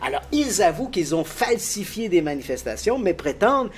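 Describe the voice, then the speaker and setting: A man with a fairly high voice walks through a story in lively detail, laughing easily along the way. A faint noise sits behind him. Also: normal speed. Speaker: guest expert on the paranormal. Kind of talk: casual explanatory storytelling.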